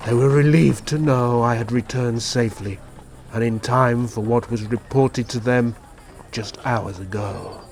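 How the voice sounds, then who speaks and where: Man playing Vlad, a slow, gravelly warrior prince. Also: on the low side, a little hiss or hum. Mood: grave recounting. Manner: grave, measured, formal storytelling. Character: Vlad, male vampire prince solemnly recounting his past. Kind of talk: dramatic first-person audio drama narration.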